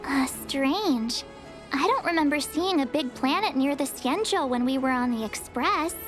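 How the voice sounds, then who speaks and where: A woman's voice: sits high, expressive, a little slow. An actress playing a curious, cheeky young girl traveller in a video game, with an informal, playful manner. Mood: puzzled. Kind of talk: video game dialogue acting. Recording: music under the voice.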